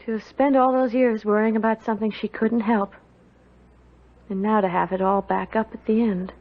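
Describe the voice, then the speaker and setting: A woman's voice: medium pitch, steady tone, normal speed, close to an everyday voice. An actress playing an earnest, guilt-ridden young servant girl in old-time radio drama, with a sensitive, dramatic delivery. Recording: muffled old radio broadcast.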